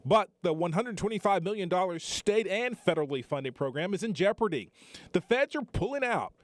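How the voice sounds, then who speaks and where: A man's voice: sits high, expressive, fairly quick, no room sound. An American man narrating a scripted television news report as a reporter.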